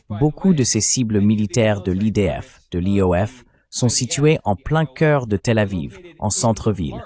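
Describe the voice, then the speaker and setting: A man's voice: on the low side, a little mellow. A man delivering political commentary as an analyst, in an emphatic, argumentative way.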